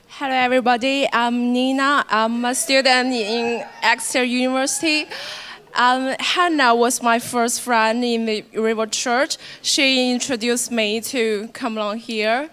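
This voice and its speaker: A woman student gives her testimony slowly and hesitantly, with earnest conviction. Her voice is clear and fairly high. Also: a bit bright. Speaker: university student. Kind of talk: short personal testimony.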